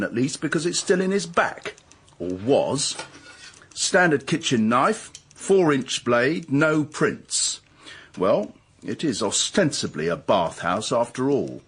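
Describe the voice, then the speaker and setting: An actor playing a sarcastic, menacing police inspector in a radio drama, husky and English, clipped and emphatic. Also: male voice, medium pitch, a bit bright, heavily acted. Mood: dry, accusing. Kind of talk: radio detective drama acting.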